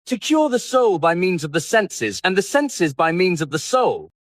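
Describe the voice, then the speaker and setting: A man voicing an aristocratic, languid gentleman who speaks in maxims, in an audiobook. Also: sits high, very animated, moderate pace, no echo, clean studio sound, voice pushed up. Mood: musing, epigrammatic.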